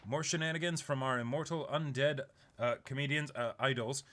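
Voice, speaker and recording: A young man hosting an online video, casually reading aloud in a halting, chuckling way while seated indoors. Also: mid-range voice, fairly bright tone, slight background noise. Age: young adult. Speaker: YouTube unboxing host. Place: small indoor room, seated.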